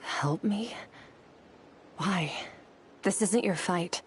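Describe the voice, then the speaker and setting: A resolute young female warrior with a low voice. Her delivery is measured, solemn and guarded, in the style of a dramatic game story. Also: a little hiss or hum. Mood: cool, guarded. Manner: measured, earnest, reserved. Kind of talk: dramatic video game voice acting.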